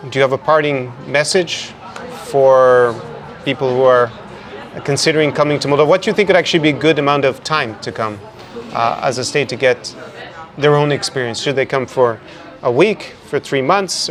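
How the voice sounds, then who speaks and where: A man hosting a casual podcast interview, chatty and quick with frequent restarts, recorded outdoors in a city. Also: medium pitch. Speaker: podcast host.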